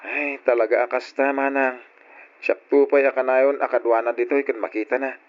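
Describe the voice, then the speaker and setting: An actor playing a patient, burdened grown son who cares for his ailing parents, speaking gently and earnestly in an old-time radio drama style. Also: mid-range voice, flat delivery, normal speed, close to an everyday voice. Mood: earnest, resigned. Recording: dull lo-fi radio drama recording.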